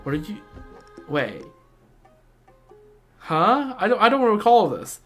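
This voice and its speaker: A video game streamer talks casually to viewers in a mid-pitched voice. The delivery is informal fan commentary with gamer slang. Music plays clearly behind the voice. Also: sing-song, slow pace. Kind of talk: casual livestream chat mixed with reading game dialogue aloud.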